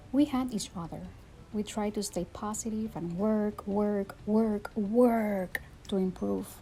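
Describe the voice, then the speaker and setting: Earnest Puerto Rican woman, mellow voice. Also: mid-range voice, gravelly, poor audio. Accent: Puerto Rican Spanish. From Puerto Rico. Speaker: speaker. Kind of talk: personal story talk.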